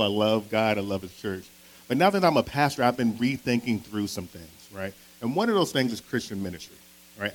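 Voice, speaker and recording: Earnest pastor preaching. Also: male voice, mid-range voice, low-quality recording. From Philadelphia. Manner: earnest, conversational, with frequent tag questions. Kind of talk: sermon with opening prayer.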